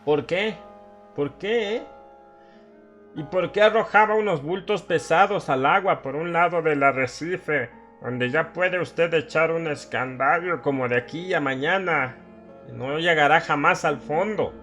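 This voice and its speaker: A man's voice: a little high, a little slow, fairly bright tone, soft background music. A man playing a drunken, half-crazed old villager, sly and ranting, in a dramatized horror audiobook, with a rambling and insistent manner. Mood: mocking, manic. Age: older. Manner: cackling, rambling, insistent questioning.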